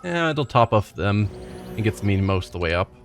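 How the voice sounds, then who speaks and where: Let's play host, man, low voice, thinks aloud with hesitant, casual commentary; faint game music. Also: sing-song, moderate pace.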